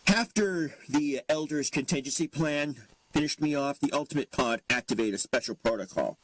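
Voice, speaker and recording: A man playing a composed, self-assured superhero leader who talks in a measured, dryly smug way. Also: a little high, a little hiss or hum, lightly acted, poor audio. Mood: explaining calmly. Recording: loud music over the voice.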